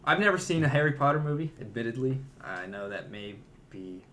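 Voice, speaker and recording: Man, mid-pitched voice, casual podcast co-host, conversational, opinionated. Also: lively intonation, brisk, fairly bright tone. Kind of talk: casual movie discussion.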